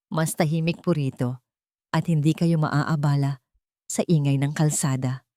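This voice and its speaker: A woman narrator acts out a cold daughter-in-law in an audio story. She is falsely sweet and scheming, with curt politeness. The voice sits fairly low and the recording is dry. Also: steady tone, unhurried, warm tone, dry recording. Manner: polite on the surface, curt and irritated.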